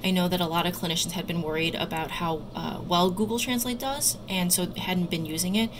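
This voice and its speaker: A woman doctor and researcher giving careful, somewhat halting explanatory answers as an interview guest. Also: fairly low pitch. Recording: loud background noise.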